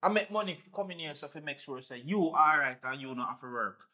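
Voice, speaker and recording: Man as boastful cheating husband, Jamaican accent, high-ish, dismissive. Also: animated, fast talker, pushed and bright. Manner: defensive, boastful. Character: brash, unfaithful husband, defensive.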